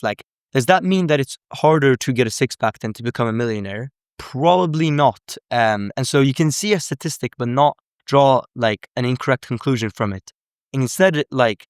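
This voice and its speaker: A young man from Sweden talks through his point in casual live commentary, stopping often to correct himself. His voice is smooth and clear at a middling pitch. The sound is dry and close, with no room echo. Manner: earnest, explanatory, full of self-corrections. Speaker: language coach.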